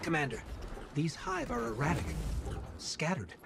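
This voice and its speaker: An actor voicing a small, eager, inquisitive robot companion in a video game, earnest and alert. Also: male voice, a little high, huge pitch swings, measured pace, creaky, noisy background, exaggerated voice. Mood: alert, concerned.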